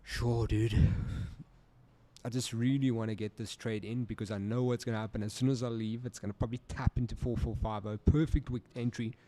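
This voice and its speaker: A young South African man hosting a casual live stream, joking, laughing a lot and talking in relaxed slang. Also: mid-range voice, fairly quick, a little hiss or hum. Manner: laid-back, joking, laughs often, slangy. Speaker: livestream trading host.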